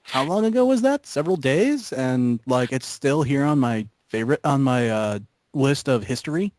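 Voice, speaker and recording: Young man in halting casual chat, dry sound. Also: mid-range voice, expressive, a little mellow, no room sound. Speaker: member of an online gaming video group. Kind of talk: casual joking group chat.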